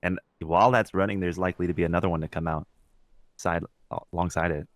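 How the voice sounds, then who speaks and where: A man's voice: sits low, fairly even intonation, fast talker, dry recording, studio quality. A young man co-hosting a podcast, chatting informally and swearing casually. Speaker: podcast co-host; substitute teacher.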